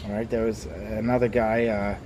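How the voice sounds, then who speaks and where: A man's voice: fairly low pitch, moderate pace, slight background noise. A young man from Hong Kong, a former travel agent, telling travel stories in a casual interview. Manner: relaxed storyteller, animated when telling anecdotes.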